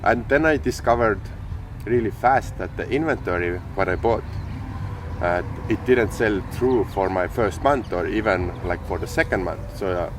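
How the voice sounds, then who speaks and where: Low-voiced man on a noisy street. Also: moderate pace. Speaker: podcast co-host, entrepreneur. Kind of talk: casual conversation. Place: outdoors in sunny city street. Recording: clear street and traffic noise.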